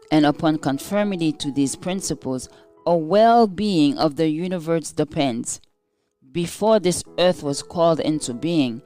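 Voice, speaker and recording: Slow, smooth-voiced woman reading a devotional. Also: on the low side, a little mellow. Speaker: devotional reader.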